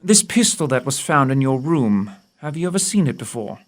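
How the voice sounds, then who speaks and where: Guy doing brisk gentleman detective, radio play, confident n probing, polite, mid pitch. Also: very animated, close to an everyday voice. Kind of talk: audio theatre acting.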